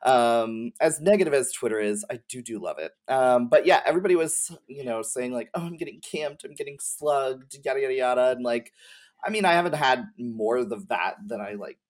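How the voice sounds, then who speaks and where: A man talks casually as a guest on a gaming podcast. His voice is mid-pitched, and he chats loosely with frequent filler words. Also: moderate pace. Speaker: podcast guest, game streamer. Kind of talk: casual chat.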